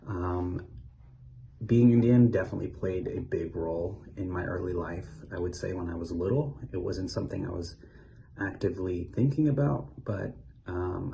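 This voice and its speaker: A man's voice: deep voice, vocal fry, mellow, a little hiss or hum, low-quality recording. A man from Philadelphia thoughtfully recalling his childhood, with frequent ums. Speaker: interviewee. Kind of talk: personal recollection.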